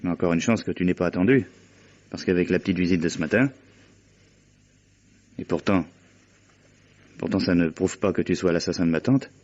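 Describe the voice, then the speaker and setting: A man's voice: sits low, normal speed, pauses often, a little mellow. An actor playing an impatient, domineering young man in a French radio mystery play, exasperated and insistent with his friend. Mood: low, calculating. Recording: dull, muffled vintage radio recording.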